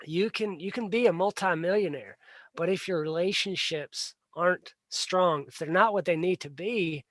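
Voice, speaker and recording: Man, livestream host, high-ish voice, dry close sound, earnest and plain-spoken, stumbles and repeats words. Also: moderate pace, fairly bright tone, dry recording. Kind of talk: casual solo livestream talk.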